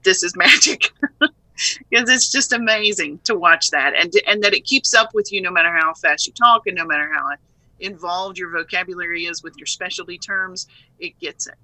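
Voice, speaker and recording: Very bright-voiced woman, lively and playful. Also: lowish voice, normal speed, no echo, poor audio. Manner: lively, playful, laughs easily. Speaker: software trainer and company head. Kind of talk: casual live video interview.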